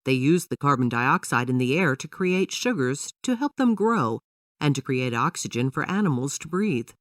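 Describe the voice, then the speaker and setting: A woman's voice: extremely low pitch, lively intonation, normal speed, no echo, studio quality, distant mic. A woman voicing a patient father who explains things to his young daughter in a children's storybook, in an instructive manner. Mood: explaining.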